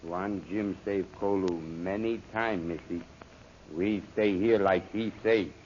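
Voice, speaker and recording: Loyal native helper, low voice, broken english, old radio serial, muffled and hissy. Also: male voice, steady tone, slow. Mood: earnest, stubborn. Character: loyal native male servant or henchman, terse, deferential. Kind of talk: old-time radio adventure serial acting.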